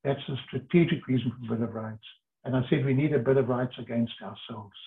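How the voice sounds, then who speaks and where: An older man from South Africa, a lawyer, telling his story in an earnest, measured way with pauses. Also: medium pitch. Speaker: interviewee, lawyer. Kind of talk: reflective storytelling. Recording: tinny phone-like line.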